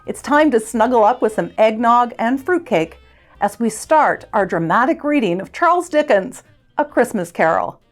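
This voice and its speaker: A woman's voice: a little high, animated, normal speed, faint music behind. A woman hosting a dramatic reading, giving a scripted, scene-setting introduction.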